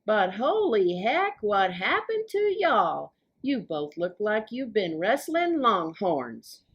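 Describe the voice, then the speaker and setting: A woman's voice: mid-range voice, big pitch swings, slow, breathy edge, highs cut off, exaggerated voice. A woman voicing an overenthusiastic, folksy man, a monster in disguise, with a put-on Southern twang. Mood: hearty. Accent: put-on American Southern twang. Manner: enthusiastic, folksy.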